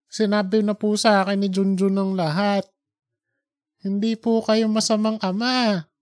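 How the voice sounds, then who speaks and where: A performer acts out a man in his sixties in an audiobook drama, a devoted son. He sounds earnest and emotional, with a gentle, wistful temper. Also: medium pitch, no echo, studio quality, voice pushed up, natural voice. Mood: tearful, reassuring. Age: 60s.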